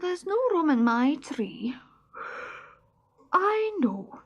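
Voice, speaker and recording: A woman's voice: high voice, big pitch swings, highs cut off, pitched above the performer's own voice, exaggerated voice. A woman doing a drowsy, mumbling squirrel. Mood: sleepy. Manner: sleepy, slow, mumbling. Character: drowsy male red squirrel.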